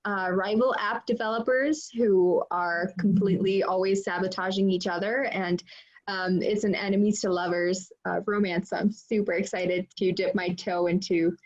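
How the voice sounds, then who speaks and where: A woman's voice: mid-range voice, fairly even intonation, normal speed. A woman speaking chattily and enthusiastically as an author on a casual online panel, over a video call. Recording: dull video-call audio.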